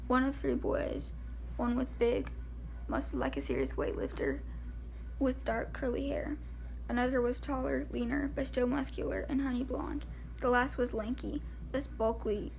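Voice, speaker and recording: A woman reads a novel aloud in an informal, amateur way, tripping over words here and there. Her pitch is a little high and moves around quite freely, with a heavy creak running through it. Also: a little hiss or hum, poor audio. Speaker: amateur audiobook reader. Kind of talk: reading a first-person novel aloud.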